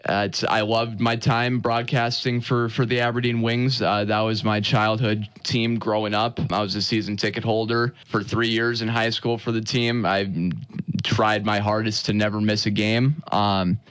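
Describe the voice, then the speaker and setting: Young sports radio guy, kinda low smooth voice, earnest, keeps restarting sentences. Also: flat delivery, a bit bright, dry recording. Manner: earnest, halting, many restarts. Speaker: sports broadcaster. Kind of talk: radio interview guest.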